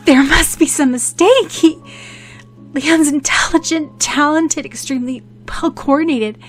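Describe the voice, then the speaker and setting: A woman's voice: high voice, measured pace, faint music behind, voice pushed up. A woman voicing a distraught mother in an audiobook story, moaning in desperate disbelief. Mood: desperate denial. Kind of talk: dramatic audiobook character voice.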